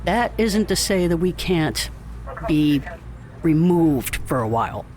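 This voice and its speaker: An actor playing a measured, authoritative captain in an audio drama, speaking formally and deliberately. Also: male voice, fairly high pitch, sing-song, a little mellow. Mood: grave, musing.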